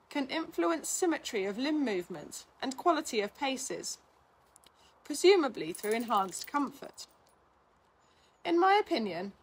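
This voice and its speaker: A woman reading a scripted article aloud in a measured, instructional manner. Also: on the high side, a little hiss or hum, poor audio. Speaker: reader of a blog, physiotherapist.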